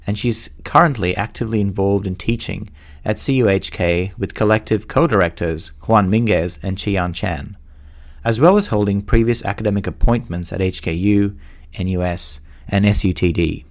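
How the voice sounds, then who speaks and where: A man with a somewhat low voice hosts a podcast. He speaks in a measured, formal way, as if presenting a prepared introduction before putting questions to someone. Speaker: podcast host. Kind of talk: scripted introduction and interview.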